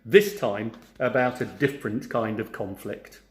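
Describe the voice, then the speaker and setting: A man voicing a wise storytelling giant, measured and instructive, firmly admonishing and explaining. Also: lowish voice, voice pushed down. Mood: patient, explaining. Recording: roomy, echoing sound.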